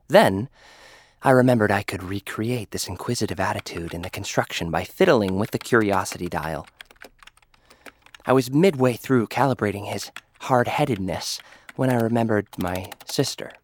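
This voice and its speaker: Dry, sardonic low-voiced male narrator. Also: no room sound. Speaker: narrator and lead character. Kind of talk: scripted first-person audio drama narration.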